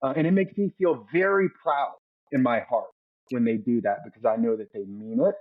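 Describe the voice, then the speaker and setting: A man's voice: mid-range voice, moderate pace. A man in his forties from the United States, a former Navy SEAL telling his story as a podcast guest, earnest and reflective, often repeating words as he gathers his thoughts. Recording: dull lo-fi recording.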